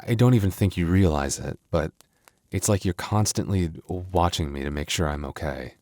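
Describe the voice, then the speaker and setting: Deep, close-up playful werewolf boyfriend acted by a man. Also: a little mellow, no room sound. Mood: tender, vulnerable. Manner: conversational, teasing, halting when flustered. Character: playful, devoted young male werewolf boyfriend, soft-hearted and teasing. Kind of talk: intimate boyfriend roleplay ASMR audio.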